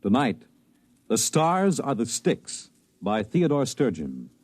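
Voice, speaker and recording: A man's voice: medium pitch, huge pitch swings, takes it slowly. A man announcing a 1950s radio series in a grave, portentous, scripted style. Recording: 1950s radio, loud music behind. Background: loud music.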